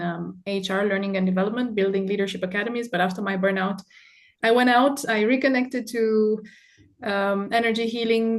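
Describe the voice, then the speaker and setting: Woman from Romania chatting on a call. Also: mid-range voice. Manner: warm, spiritual wording, many fillers. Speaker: leadership coach. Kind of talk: casual video call chat.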